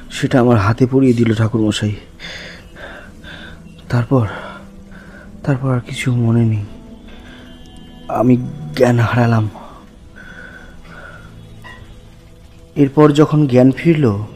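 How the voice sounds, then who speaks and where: A man as Bibhuti, earnest horror narrator, mid-pitched. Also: steady tone, takes it slowly, pauses often, darkish tone, faint music behind, lightly acted. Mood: uneasy, recounting. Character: Bibhuti, earnest male narrator shaken by the supernatural. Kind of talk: first-person horror story narration.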